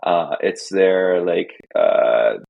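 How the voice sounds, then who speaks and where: Russian-speaking man, deep gravelly voice, dry close sound, relaxed rambling guest. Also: drawn-out words, no echo, phone-quality sound. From Estonia. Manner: rambling, many fillers and restarts. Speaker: podcast guest. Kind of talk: casual interview chat.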